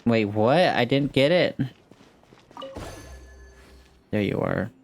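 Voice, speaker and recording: A man's voice: mid-range voice, lively intonation, normal speed, creaky. A man giving casual, slangy gameplay commentary as a video host, sometimes reading game text aloud. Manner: slangy, informal. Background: game music.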